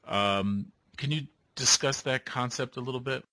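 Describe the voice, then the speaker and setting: A man hosting an interview, asking measured questions. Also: mid-range voice, bright, forceful tone, no room sound, low-quality recording. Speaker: interviewer, host.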